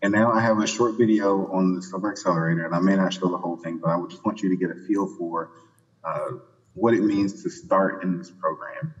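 A man presenting his program's results at a conference in a relaxed way, with many fillers and quick asides. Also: deep voice. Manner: relaxed, filler-heavy, skipping ahead for time. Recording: muffled audio in a reverberant hall.

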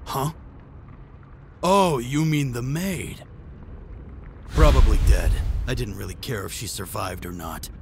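A man's voice: medium pitch, slow pace, long pauses, a little hiss or hum. A man voicing a cocky, cold-blooded assassin in a manga dub, with a nonchalant, taunting delivery. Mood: indifferent. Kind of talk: amateur anime manga dub acting.

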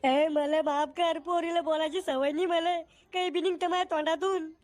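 A cheeky, lazy village schoolboy in a cartoon, as a voice actor plays him. He jokes and boasts in a high voice. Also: fairly even intonation, moderate pace, very noisy.

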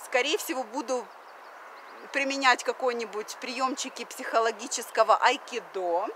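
A chatty woman from Russia in her thirties talks straight into a phone held at arm's length on an outdoor riverside deck. Her voice is bright and fairly high, with lots of fillers and English words slipped in. Faint outdoor noise sits behind her. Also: moderate pace. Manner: chatty, many fillers, mixes in English phrases. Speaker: vlogger. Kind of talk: casual vlog to camera. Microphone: phone camera at arm's length.

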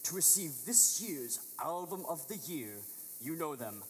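A teenage male actor playing a showy young celebrity presenting an award with dramatic pauses. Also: on the high side, big pitch swings, a little hiss or hum. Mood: grand, thrilled. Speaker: actor in a high school play. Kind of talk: award presenter speech on stage. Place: theater stage at podium.

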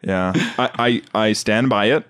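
A man with a fairly low voice chats on a comedy podcast. He rambles a little, stopping and restarting as he works through a joking thought in casual conversation. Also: a little slow, fairly bright tone. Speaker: sketch comedy podcast co-host.

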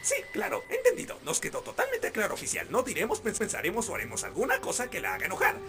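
A man voicing a volatile, whiny, sarcastic clown animatronic in a Latin American animated fan dub. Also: high-pitched, heavily acted. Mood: mocking, indignant. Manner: excitable, sarcastic, quick to protest. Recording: music behind the voice.

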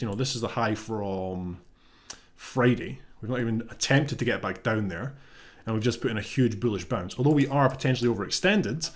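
A man in his thirties with a slight cold, explaining a trade in a relaxed, thinking-aloud way from his home office webcam. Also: fairly low pitch. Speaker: trading educator. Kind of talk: casual explanatory live-trade walkthrough.

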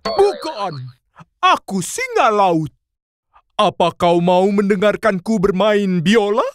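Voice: mid-range voice, animated, takes it slowly, over music. An actor playing a showy, friendly sea lion in a children's cartoon. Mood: proud, friendly. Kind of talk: children's cartoon character acting.

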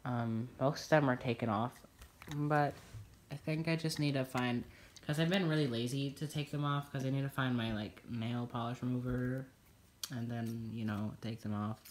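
A young man with a stuffy, congested nose talks his way through a toy unboxing at a table at home. His chatter is loose and rambling, full of ums and side remarks, at a middling pitch. Crinkling packaging and clicks sit clearly behind his voice on the phone recording. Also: steady tone, moderate pace, slight background noise. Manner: chatty, rambling, many fillers. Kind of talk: casual unboxing commentary. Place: indoors at a table in a home room.

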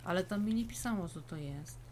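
A woman's voice: fairly low pitch, lively intonation, brisk, darkish tone. A woman hosts a gaming video. She chats casually with her friends as they play. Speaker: gaming channel host.

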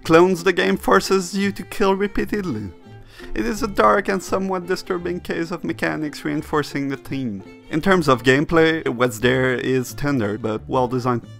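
A man's voice: fairly high pitch, moderate pace, gravelly, a bit bright. A man reading a scripted video essay that reviews games, in the role of narrator. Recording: music bed behind the voice. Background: music.

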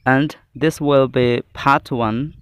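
Guy teaching english, really slow n deliberate, smooth clear voice. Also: medium pitch, fairly even intonation, a bit bright. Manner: deliberate, phrase-by-phrase delivery. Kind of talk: scripted English lesson, reading example phrases.

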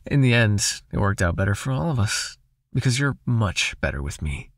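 A man playing a possessive, seductive vampire master in an ASMR hypnosis roleplay, murmuring breathily and slowly in an intimate, coaxing, softly commanding way. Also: on the low side, big pitch swings, no echo, clean studio sound. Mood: smooth, coaxing.

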